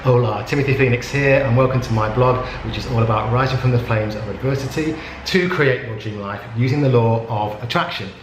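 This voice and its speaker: A man hosting a casual interview, talking in a relaxed and rambling way with many fillers. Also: mid-range voice, a little fast, hardly any pauses. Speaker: host. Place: indoors at a yoga retreat. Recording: echoey room with background noise.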